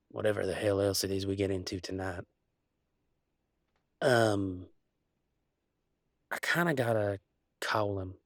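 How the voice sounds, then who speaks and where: A man playing an easygoing, affectionate country werewolf boyfriend, talking in a folksy, halting way in an intimate roleplay audio. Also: lowish voice, no room sound, clean studio sound. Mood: sincere, fond. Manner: folksy, drawling slang, halting asides.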